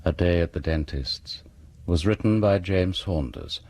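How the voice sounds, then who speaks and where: A man's voice: low voice, a little slow, a little mellow, dry recording. A man hosting a radio horror series, reading a scripted outro with a wry, sinister storyteller's delivery. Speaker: drama series host and announcer.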